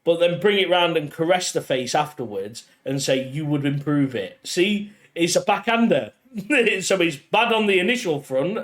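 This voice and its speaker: A man's voice: a little high, expressive, moderate pace, a bit bright. A young man co-hosting a podcast in casual chat, teasing his co-host and laughing often.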